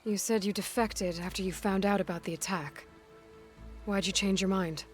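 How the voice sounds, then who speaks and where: Young woman warrior hero played by an actress, steady, practical, video game drama, music behind. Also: medium pitch, normal speed, close to an everyday voice. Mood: questioning. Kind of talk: video game cutscene acting.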